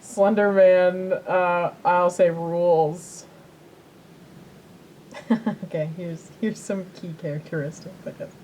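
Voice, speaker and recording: A woman's voice: lowish voice, fairly even intonation, takes it slowly, long pauses, mellow, slight background noise, low-quality recording. A woman co-hosting a podcast in casual chat, rambling and repeating herself as she tells things. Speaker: podcast co-host.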